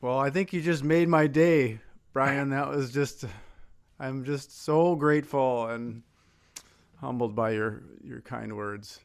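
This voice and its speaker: A man's voice: mid-range voice, slow. An American man speaking informally and reflectively as a guest musician, with hesitant, grateful phrasing. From Minnesota, United States. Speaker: guest singer-songwriter. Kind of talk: informal, personal talk between songs.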